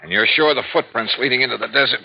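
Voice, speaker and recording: A man's voice: medium pitch, vocal fry, noisy background, lightly acted. A man playing a wry, skeptical detective. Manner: dry, quick-tempered, skeptical. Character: wry, brisk insurance investigator. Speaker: narrator and lead detective. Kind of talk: 1950s radio drama acting and narration. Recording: dull old radio recording with music.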